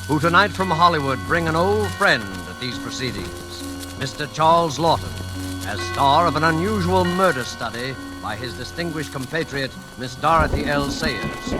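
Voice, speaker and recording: Theatrical male radio announcer over loud music. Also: medium pitch, rough recording. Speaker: radio show host and announcer. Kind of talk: 1940s radio narration, scripted introduction. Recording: old radio broadcast recording.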